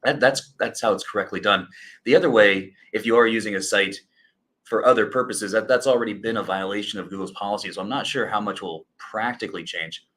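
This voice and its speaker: A man answering as a guest in a webinar, relaxed and conversational. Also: fairly low pitch, brisk, husky. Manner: relaxed, conversational with "you know". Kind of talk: casual expert answers.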